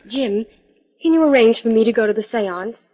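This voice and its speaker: A woman's voice: on the high side, animated, normal speed, warm tone, close to an everyday voice. A woman acting in 1940s radio style as a loyal, spirited detective's assistant, forthright and curious. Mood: curious, eager. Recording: dull vintage 1940s radio recording.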